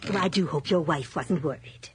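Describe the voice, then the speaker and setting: An actress playing a chatty, absent-minded elderly hostess in a radio drama, sweetly polite and rambling. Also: female voice, sits low, normal speed, background noise, natural voice. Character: chatty, dotty, lonely elderly woman, eager hostess.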